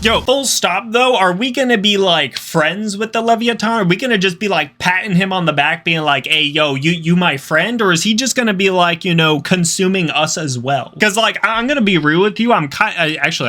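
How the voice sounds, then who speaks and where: A young man with a fairly high, bright and forceful voice hosts a reaction video. He comments casually, in an excitable way full of slang and exclamations.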